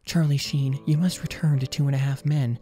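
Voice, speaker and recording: Guy putting on a high overwrought cartoon everyman, anxious n pleading, soft music under it. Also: steady tone, fairly quick, lightly acted. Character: tearful, anxious, hopeless man, cartoon cat's owner. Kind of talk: absurd fan fiction audiobook reading.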